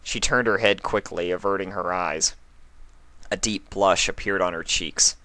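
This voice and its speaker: A man's voice: low voice, fairly even intonation, unhurried, bright, forceful tone, no echo. A man reading a story aloud as a scripted third-person narrator. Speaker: fan fiction reader, narrator.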